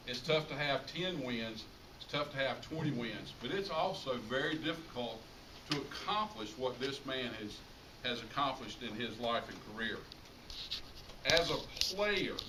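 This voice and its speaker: A middle-aged American man giving an informal tribute speech to an audience, speaking emphatically and repeating phrases for effect. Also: mid-range voice. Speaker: high school public address announcer giving a tribute speech. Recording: roomy, distant mic, clearly noisy ambience.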